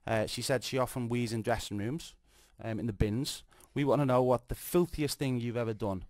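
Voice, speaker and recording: A man's voice: mid-range voice, fairly even intonation, moderate pace, darkish tone, no echo, clean studio sound. A man with a strong Scouse accent interviewing in a casual, jokey, enthusiastic radio-host style. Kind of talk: casual celebrity interview, chatty banter.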